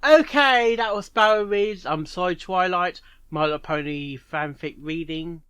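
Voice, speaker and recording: A man's voice: high voice, unhurried, a bit bright, clear, resonant tone, no room sound. A man hosting a reaction video, musing and speculating aloud in unscripted commentary.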